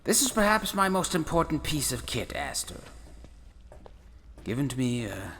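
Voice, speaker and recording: Man playing curious professor, bright, theatrically swooping voice. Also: a little high, sing-song, vocal fry, full character voice. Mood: absorbed, musing. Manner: measured, theatrical, musing delivery. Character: eccentric, curious male professor and space traveller. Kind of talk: sci-fi audio drama acting. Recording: long reverb, faint background noise.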